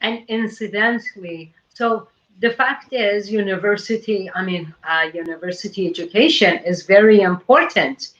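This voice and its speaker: A woman's voice: medium pitch, normal speed, a bit bright. A woman hosting a casual conversation, speaking thoughtfully with many fillers. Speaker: host. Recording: dull lo-fi call audio.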